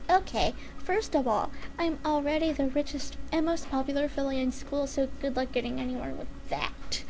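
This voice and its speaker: A woman's voice: sits high, normal speed, darkish tone, poor audio. A woman voicing a menacing young female pony hunter with a sarcastic, haughty manner in a story reading.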